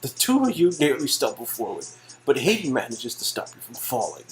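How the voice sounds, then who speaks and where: A man's voice: medium pitch, sing-song, a little fast, soft background music. A man reading an interactive story game aloud in a casual, jokey way, with goofy ad-libs. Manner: jokey, goofy, prone to ad-libbing. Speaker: co-reader performing a visual novel game. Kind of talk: casual, playful read-aloud with improvised asides.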